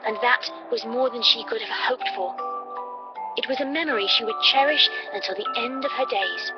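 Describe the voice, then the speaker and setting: Woman narrating a story over loud music. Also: a little high, moderate pace. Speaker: audiobook-style story narrator. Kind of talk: dramatic scripted narration of a fan story. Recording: dull lo-fi playback with loud music. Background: loud background music.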